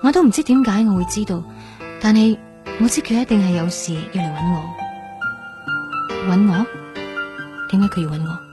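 An actress playing a gentle, reflective young woman in a Hong Kong Cantonese radio drama. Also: mid-range voice, moderate pace, pauses often, lightly acted. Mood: wistful, pondering. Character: gentle young woman, heart transplant patient, wistful narrator. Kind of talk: Cantonese radio drama acting and inner monologue. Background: soft music.

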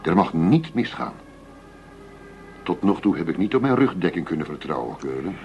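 A man's voice: deep voice, sing-song, moderate pace, a little mellow, highs cut off. An actor playing a shrewd undercover policeman posing as a tough dealer, firm and businesslike, in a radio drama. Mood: serious, uneasy. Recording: noisy radio play recording with ambience.

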